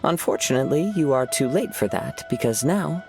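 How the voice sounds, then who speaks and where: An actor playing a gentle, eloquent young wandering samurai poet, soft-spoken and wry, in a video game. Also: male voice, medium pitch, lively intonation, moderate pace, music in the background, lightly acted. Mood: serene, wry. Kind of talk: video game character acting.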